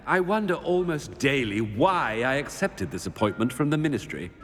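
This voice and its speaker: A man's voice: mid-range voice, big pitch swings, measured pace, a bit bright. A man playing a haughty, brusque middle-aged wizard headmaster with a British accent in a video game, theatrical and pompous. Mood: weary disdain.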